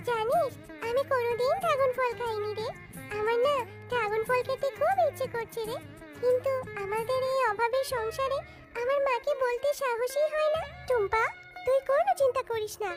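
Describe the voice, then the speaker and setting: A woman voicing an earnest, childlike little animal girl in a cartoon, with a pleading manner. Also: very high-pitched. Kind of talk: cartoon voice acting. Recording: music behind the voice.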